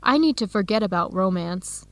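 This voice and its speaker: Young female researcher, regretful yet determined, medium pitch, deliberate radio drama delivery, dry studio. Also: lively intonation, fairly bright tone, dry recording. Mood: regretful, resolved. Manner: deliberate, short plain sentences. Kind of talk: English-learning radio drama acting.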